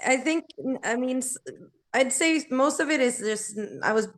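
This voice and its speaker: Female guest singer, naturally raspy, easygoing and talkative. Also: sits high, measured pace. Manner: laughs easily, rambling and friendly. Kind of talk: casual interview chat.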